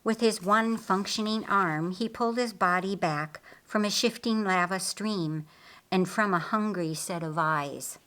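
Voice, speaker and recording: A woman reads a scripted story as an audiobook narrator. Her medium-pitched voice moves slowly, with suspense and dramatic emphasis on the phrases. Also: fairly bright tone.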